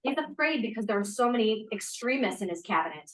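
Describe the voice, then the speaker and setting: A woman's voice: a little high, expressive, quick pace, fairly bright tone. An actress playing an outspoken, impassioned 1980s woman activist in a table read of a play. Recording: roomy echoing table read.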